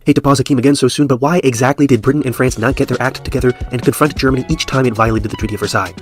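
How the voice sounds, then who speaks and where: A man narrating a scripted video essay, laying out his argument in a brisk, measured way. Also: mid-range voice, fairly even intonation, soft background music.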